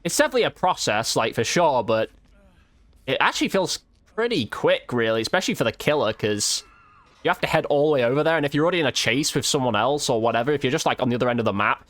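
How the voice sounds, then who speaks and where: A man's voice: mid-range voice, expressive, fast, fairly bright tone, no room sound. A man giving casual, informal gameplay commentary as a video host, with slang and mild swearing.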